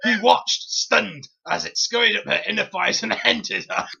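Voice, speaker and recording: A narrator with a creaky, raspy voice giving a comic, theatrical dramatic reading of fan fiction. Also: medium pitch, moderate pace, sharp tone, muffled recording.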